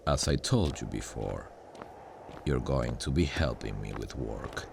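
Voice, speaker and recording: A man playing a sardonic demon guardian of hell in a slow ASMR roleplay, smug and dryly menacing, with a very deep, gravelly voice. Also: expressive, heavily acted. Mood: calm, protective. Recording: noisy ambient sound bed. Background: hellish ambience.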